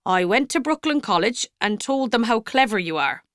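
A kindly, reassuring parish priest, read by a woman narrator in a storybook reading. He speaks gently, at an even, middling pitch, in a dry, close recording. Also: measured pace, no echo. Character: kindly older male Irish-American parish priest.

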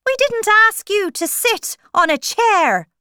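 A woman voicing an excitable, rude male hare in a slow children's story, with exaggerated emphasis. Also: very high voice, dry recording, clean studio sound, voice pushed up, full character voice. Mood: indignant.

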